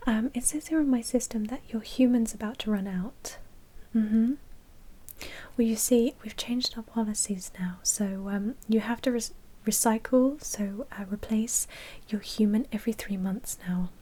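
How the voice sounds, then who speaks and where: Woman doing a polite vampire bank manager, soft breathy whisper, asmr roleplay, mellow n obliging. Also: on the high side, moderate pace, close to an everyday voice. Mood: courteous, sympathetic. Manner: soft, whispered, courteous customer-service patter.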